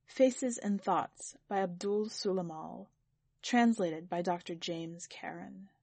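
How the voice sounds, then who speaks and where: A woman reading scripted narration for a literary fiction podcast in a measured, deliberate and expressive way. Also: on the low side, vocal fry, slightly rough, no room sound. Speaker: podcast host and story reader.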